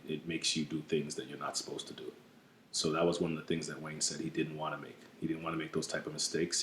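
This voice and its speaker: Very deep, flat-voiced man from the US. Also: roomy sound, slight background noise. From United States. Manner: measured and earnest, with frequent "you know" fillers. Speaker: program adviser. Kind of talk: documentary interview.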